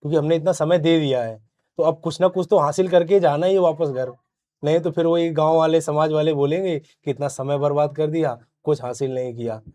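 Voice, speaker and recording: A man's voice: a little high, fairly even intonation, fairly quick, warm tone. A young man giving casual, plain-spoken, explanatory answers as an interview guest. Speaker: interview guest, successful exam candidate.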